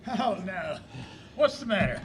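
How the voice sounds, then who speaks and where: A man playing a courteous, lovesick gentleman friend, declaiming expressively in a Shakespearean audio play. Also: high-pitched, very animated, measured pace, slight background noise. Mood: concerned.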